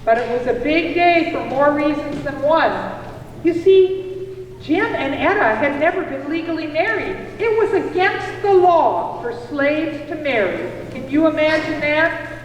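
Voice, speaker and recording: A woman playing a folksy storyteller of the 1850s, deliberately narrating a puppet show to an audience. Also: sits high, lively intonation, muffled recording, slight background noise. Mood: recounting. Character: woman storyteller recalling 1850s events she lived through. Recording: echoey hall from far off, music.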